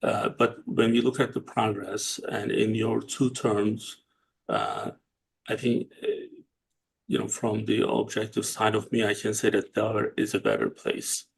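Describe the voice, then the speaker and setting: A man from Turkey, hosting an interview in a casual, halting way with many fillers and restarts. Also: lowish voice, lively intonation, gravelly, a little hiss or hum, low-quality recording. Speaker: host and company CEO.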